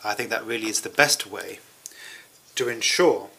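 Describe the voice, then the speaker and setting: A man interpreting a German speech into English at a conference, with measured, hesitant phrasing and pauses. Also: fairly low pitch, gravelly, bright, forceful tone, breathy edge, low-quality recording.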